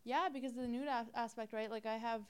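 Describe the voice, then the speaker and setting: A woman's voice: on the high side, steady tone, quick pace, smooth. A woman, chatting as an interview guest, hesitant and full of fillers. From Romania. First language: Romanian. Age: 20s. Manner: hesitant, many "like" and "um" fillers, laughs often. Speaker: guest, model. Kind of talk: casual interview chat.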